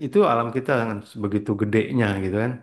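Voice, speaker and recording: Rambling low-voiced man. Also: steady tone, racing, a little mellow. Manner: rambling, mixes English words, frequent throat clearing. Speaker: spiritual trainer. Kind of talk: casual motivational talk.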